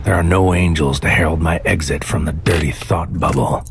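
A man playing a bleak, alienated psychic warrior, speaking a deliberate, poetic inner monologue in an audio drama. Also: extremely low pitch, fairly even intonation, creaky, background noise. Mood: bleak, brooding. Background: music.

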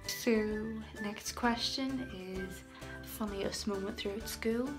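Young woman, Northern Irish accent, unhurried chat, giggles, whispered asides, phone in a bathroom, music under voice. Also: mid-range voice, fairly even intonation. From Northern Ireland. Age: young adult. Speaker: vlogger. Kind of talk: casual chat. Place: small tiled bathroom, indoors. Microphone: phone camera at arm's length.

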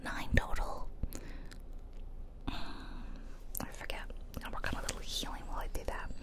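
A woman whispering chatty, self-deprecating commentary over gameplay in a soft, breathy ASMR style. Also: measured pace. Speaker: ASMR gaming video host.

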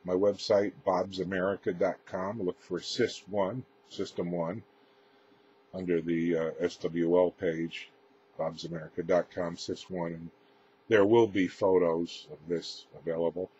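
Male demo presenter, low pitch, plain halting delivery, casual and unscripted, muffled camera sound. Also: level tone, dark tone. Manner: halting, matter-of-fact explaining. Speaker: presenter demonstrating homemade radio equipment. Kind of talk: casual unscripted equipment demonstration. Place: indoors, small room with radio gear. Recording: dull lo-fi camera audio, clear background noise.

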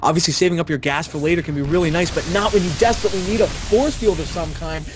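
A man's voice: a little high, fast, over music. Chatty male esports co-caster. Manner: chatty, analytical, enthusiastic. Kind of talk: live game analysis.

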